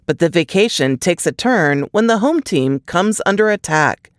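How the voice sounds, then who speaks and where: Woman narrator reading a book blurb, slightly low voice, really animated, dry studio sound. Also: moderate pace, no echo. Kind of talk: scripted narration, dramatic book-trailer reading.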